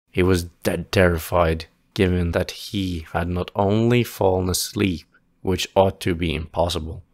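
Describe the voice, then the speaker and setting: A man narrating a fantasy story as a scripted audio play, with a solemn, measured, literary storytelling delivery. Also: deep voice, dry recording, studio quality. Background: music.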